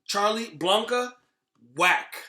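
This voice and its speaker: A man's voice: sits high, animated, slow pace, bright, forceful tone, echoey room. A man chatting casually on a podcast about pop culture, blunt and emphatic, with slangy talk and swearing. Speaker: podcast host. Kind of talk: casual podcast chat about video game movies.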